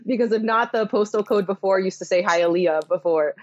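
A woman's voice: medium pitch, a little fast. Miami woman chef on dull remote audio. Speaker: chef, podcast guest. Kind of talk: casual interview answers.

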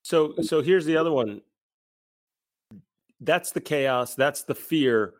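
A man's voice: fairly high pitch, slow pace. A man hosting a podcast, asking questions in a casual, conversational style. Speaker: podcast host, interviewer.

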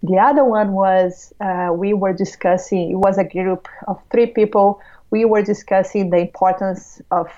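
A woman from Latin America, a native Portuguese speaker with a Brazilian accent, speaking excitedly as a podcast guest with frequent restarts. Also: medium pitch, muffled recording. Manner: warm, excited, halting restarts. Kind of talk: conversational interview.

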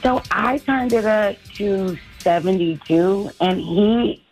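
A woman calls in to a radio show and complains with strong emphasis. She talks at an unhurried pace. Faint music sits underneath her. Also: mid-range voice, a little mellow, poor audio. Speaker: caller. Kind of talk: call-in chat.

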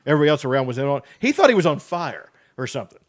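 A man's voice: mid-range voice, rapid-fire. A man telling joking stories in casual podcast chat. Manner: joking storyteller, lively.

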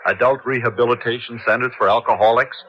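A man's voice: mid-range voice, fairly even intonation, fast, natural voice. An actor playing a helpful male charity worker in a scripted 1970s radio announcement. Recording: muffled old radio broadcast, hiss.

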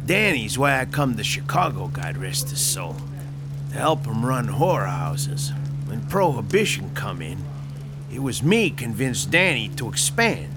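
An actor with a Brooklyn accent playing a vain, self-taught mob boss of about thirty in a radio drama, who keeps correcting his own rough grammar. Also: mid-range voice, very animated, measured pace. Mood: boastful. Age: 30s. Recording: radio drama with music behind.

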